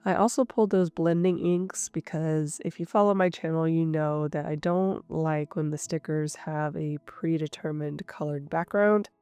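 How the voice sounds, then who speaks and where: A woman with a cold, sounding nasal and a little rough, calmly narrating her craft session as the host of her channel. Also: deep voice, moderate pace, few pauses, no room sound. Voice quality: slightly rough. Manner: calm, explanatory, thinking aloud. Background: soft guitar music.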